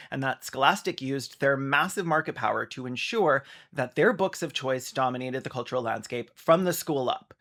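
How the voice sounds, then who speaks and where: A man hosting a video essay, delivering scripted commentary in a sarcastic, deadpan manner. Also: medium pitch.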